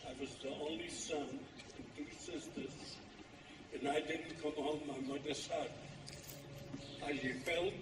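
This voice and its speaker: Older man, keynote speaker, halting plain delivery, distant mic in a roomy hall. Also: on the high side, fairly even intonation. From Poland. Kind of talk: personal testimony speech. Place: large hall, live event. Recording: echoey hall from far off with noise.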